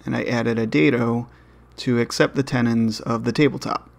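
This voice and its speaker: A man's voice: mid-range voice, steady tone, moderate pace, vocal fry. A man narrating a woodworking build step by step in a plain, matter-of-fact voice-over. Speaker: woodworking channel host.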